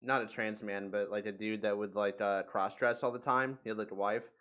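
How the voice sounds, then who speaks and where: A man's voice: fairly low pitch, fairly even intonation, brisk, a bit bright. A man hosting a casual podcast, talking in a rambling, profane way. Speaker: podcast host.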